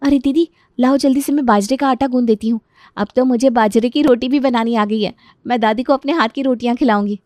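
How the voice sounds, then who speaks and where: A woman's voice: a little high, a little fast, mellow, dry recording, voice pushed up, lightly acted. A woman voicing a young, clumsy, whiny daughter-in-law in a storybook tale, complaining and exclaiming dramatically. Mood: eager.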